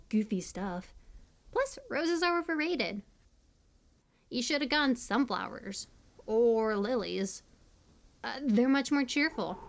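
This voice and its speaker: Woman acting a high-pitched kooky, chatty stranger. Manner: rambling, stammering, playful, slangy banter. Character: hyperactive, chatty, kooky young female cemetery stranger, teasing. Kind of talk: audio roleplay acting, casual direct address to listener.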